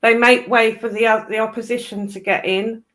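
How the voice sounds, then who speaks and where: British woman chatting politics, voice on the high side, blunt, opinionated, bit sweary. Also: fairly even intonation, normal speed, a bit bright. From United Kingdom. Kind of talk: casual political chat.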